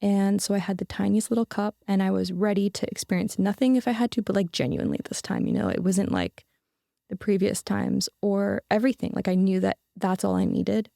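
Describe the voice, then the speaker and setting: A woman's voice: medium pitch, expressive, brisk, creaky, dark tone, dry recording, studio quality. A young adult woman, a podcast guest, telling a personal story in an earnest, casual way with many fillers and restarts.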